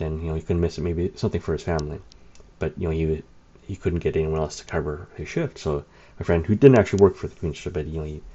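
Man, very low dark voice, rambling anecdotal storyteller, dull lo-fi sound. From Canada. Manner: rambling, many fillers, wry laughs. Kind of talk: casual anecdote telling. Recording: dull lo-fi recording.

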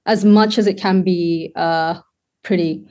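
A young woman from Sri Lanka, a wedding photographer, talking casually as a guest and pausing often to find her words. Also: on the low side, steady tone, a little mellow. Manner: thoughtful, searching for words with many fillers. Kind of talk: casual podcast conversation.

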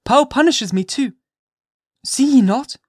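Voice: high-pitched, a little slow, husky, dry recording, clean studio sound, voice pushed up. A reader voicing a desperate young mother who pleads passionately, near shrieking, to keep her child. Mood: anguished. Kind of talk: audiobook reading.

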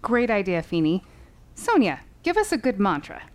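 A woman's voice: medium pitch, huge pitch swings, normal speed. A woman acting in a fiction podcast as a composed lawyer who coaches witnesses in an instructive, approving way. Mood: encouraging, businesslike. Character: encouraging, composed female lawyer coaching witnesses before testimony.

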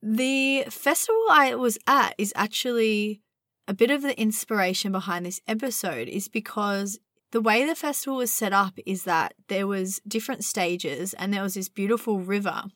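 A young woman hosting a spiritual podcast from inside her van at night, slightly husky as her voice recovers, talking in a dreamy, meandering way. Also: mid-range voice, fairly bright tone, dry recording, studio quality. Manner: dreamy, meandering, drawn-out words. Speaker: podcast host. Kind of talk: casual spiritual podcast monologue.

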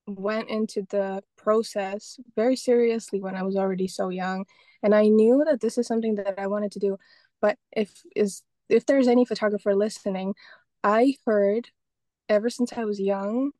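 A young woman from Estonia talks about her work in a relaxed, rambling way, full of fillers and restarts. Her voice is warm and mellow, and it sounds close and dry, with no room sound. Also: medium pitch, no echo. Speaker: guest, painter and photographer. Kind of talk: casual interview talk.

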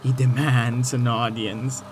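A man's voice: medium pitch, little pitch movement, takes it slowly, a little hiss or hum, lightly acted. An actor in an audio drama playing an insistent, wily messenger who is pointedly polite to his superiors. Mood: grave, insistent.

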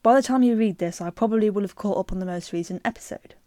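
A woman co-hosting a podcast, chatting casually and reading a listener's email aloud, lively and quick to laugh. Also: mid-range voice, dry recording, clean studio sound. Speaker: podcast co-host.

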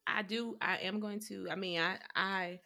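Rambling woman with a bright voice. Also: mid-range voice, fairly even intonation, moderate pace, vocal fry. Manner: rambling, full of "like" and restarts. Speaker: podcast co-host. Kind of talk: casual chat.

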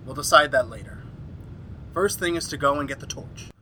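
A man's voice: fairly high pitch, normal speed, bright, forceful tone, a little hiss or hum, rough recording. A man playing an earnest, agitated scientist with a dramatic, exclamatory delivery in an old-time horror radio play. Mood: grave, urgent. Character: earnest, agitated male scientist doctor, grimly resolute. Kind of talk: 1930s-style horror radio drama acting.

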